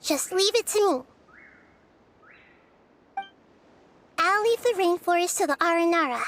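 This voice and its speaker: A woman voicing a gentle, wise and caring childlike goddess in a video game, thoughtful and measured. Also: very high voice, animated, slight background noise. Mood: reassuring. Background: game music.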